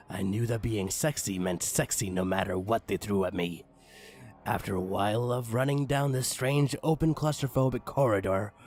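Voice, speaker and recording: Male narrator, lowish creaky voice, mock-dramatic comedic horror reading, faint music underneath. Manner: crude, mock-dramatic, swooping emphasis. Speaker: narrator, online story reader.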